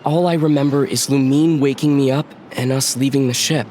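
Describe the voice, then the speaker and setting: A man voicing a calm, thoughtful young traveler recalling hazy memories in a game scene. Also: mid-range voice, a little fast, a little hiss or hum, natural voice. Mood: reflective. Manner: quiet, reflective.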